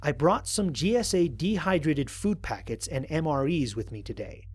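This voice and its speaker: A man playing an observant, analytical space explorer, narrating formal mission logs in a measured, precise way for an audio drama. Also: on the high side, animated, husky. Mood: intrigued, measured. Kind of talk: scripted first-person audio drama narration.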